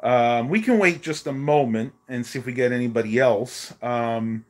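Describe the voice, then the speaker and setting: A man's voice: medium pitch, moderate pace. A man, chatting casually on a home live stream while losing his voice, rambling with many ums, filmed on a phone at arm's length in a small bedroom. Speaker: vlogger. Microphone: phone held at arm's length.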